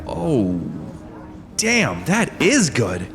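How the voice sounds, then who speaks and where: A man's voice: on the high side, huge pitch swings, very slow, in a large hall, over music, heavily acted. A man playing a cocky, teasing human super-soldier in a sci-fi audio drama, brash and bantering. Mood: teasing, amused.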